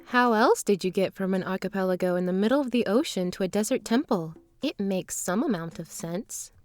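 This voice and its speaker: A woman's voice: mid-range voice, expressive, a little fast, darkish tone, soft background music, close to an everyday voice. A woman playing a sweet, trusting but determined traveling performer in a fantasy audio drama. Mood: gentle, reasoning.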